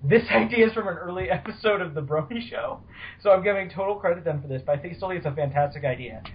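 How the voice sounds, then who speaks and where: Man, slightly high voice, casual podcast host, informal rambling talk, muffled lo-fi call sound. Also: quick pace. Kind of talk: casual fan discussion. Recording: dull, lo-fi voice call audio.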